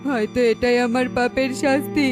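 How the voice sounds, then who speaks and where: A woman's voice: high voice, fairly even intonation, moderate pace, lightly acted. A woman voicing a wretched, repentant old father crow in a cartoon fable, plaintive and sorrowful. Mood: remorseful, miserable. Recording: music bed behind.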